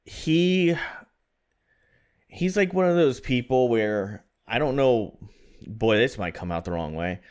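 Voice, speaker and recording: Smooth-voiced man in his thirties. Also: mid-range voice, expressive, slow, pauses often, darkish tone. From Florida, USA. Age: 30s. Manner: rambling, self-deprecating, frequent restarts. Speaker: podcast co-host. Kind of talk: casual chat.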